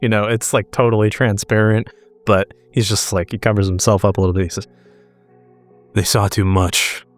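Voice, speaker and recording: A man's voice: lowish voice, flat delivery, normal speed, faint music behind. A man playing a tabletop roleplaying game, casually describing what his character does and chatting with the others.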